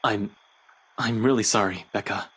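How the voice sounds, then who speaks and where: A visual novel game character: a troubled young man with a rough, husky voice. He is earnest and grave, choosing his words hesitantly. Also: fairly low pitch, fairly even intonation, moderate pace, fairly bright tone. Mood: hesitant, regretful.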